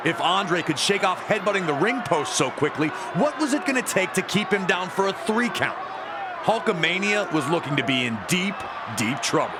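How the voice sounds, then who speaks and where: A man with a high voice delivers dramatic wrestling narration as a commentator. His delivery is emphatic and builds suspense. A huge, noisy crowd roars behind him throughout. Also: sing-song, moderate pace. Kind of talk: dramatic sports documentary narration. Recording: loud crowd and arena noise behind. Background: roaring wrestling crowd, ring slams.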